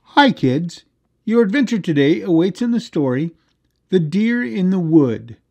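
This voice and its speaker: A woman's voice: on the low side, big pitch swings, unhurried, warm tone. A woman delivering scripted announcements for a children's story channel. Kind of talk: scripted introduction and sign-off.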